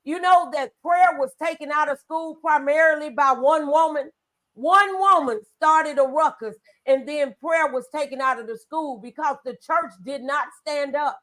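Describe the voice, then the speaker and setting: High-pitched woman hosting inspirational talk. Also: moderate pace, a bit bright, poor audio. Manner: exhorting, conversational. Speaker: talk show host.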